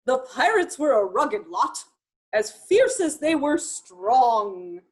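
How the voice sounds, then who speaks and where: A woman reading a children's picture book aloud expressively, in measured rhyme with chatty asides. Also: high voice, slightly rough. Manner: expressive, measured rhyming delivery. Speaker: storytime reader.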